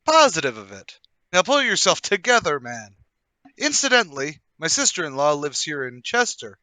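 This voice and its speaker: An actor playing a brisk, self-assured, well-to-do gentleman, emphatic and a little patronising, in a table read of a period murder mystery. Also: a little high, big pitch swings, dry recording. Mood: confident, commanding.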